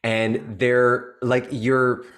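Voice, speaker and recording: A man's voice: medium pitch, little pitch movement, very slow, fairly bright tone. Gushing, effusive male podcast host. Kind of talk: casual podcast conversation.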